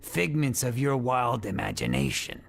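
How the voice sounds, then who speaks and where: A man's voice: medium pitch, very animated, moderate pace, vocal fry, faint music behind. A man playing a tough, cryptic manager in a noir audio drama, commanding and ominous. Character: tough, menacing, cryptic male showbiz manager.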